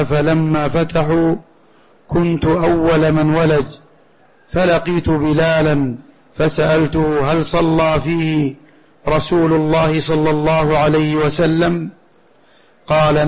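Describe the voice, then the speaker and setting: Male reader of religious text, very monotone, slightly high voice, formal recitation, muffled lo-fi audio. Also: unhurried. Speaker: student reading the text aloud in a lesson. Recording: dull, muffled lo-fi recording.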